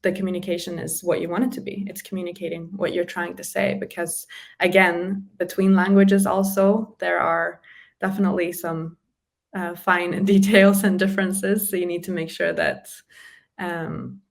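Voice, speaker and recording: A woman's voice: lowish voice, little pitch movement, normal speed, vocal fry. A woman, a communication specialist, chatting in an interview and explaining practical tips with small laughs between points. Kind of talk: casual interview chat giving practical tips.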